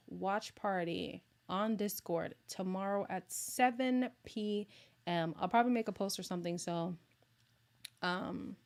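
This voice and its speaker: Chatty woman streamer, slightly hoarse. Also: medium pitch. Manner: chatty, excitable, laughs often. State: losing her voice, a little hoarse. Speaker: streamer hosting a casual live chat. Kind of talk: casual livestream chat with viewers.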